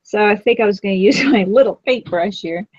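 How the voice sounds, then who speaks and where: A woman chats casually while she paints, talking viewers through her work and giggling at her own jokes. Her voice sits at a middle pitch. The audio is dull and a little lo-fi. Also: a little fast, darkish tone, no echo. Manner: chatty, giggly, self-teasing. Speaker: craft stream co-host.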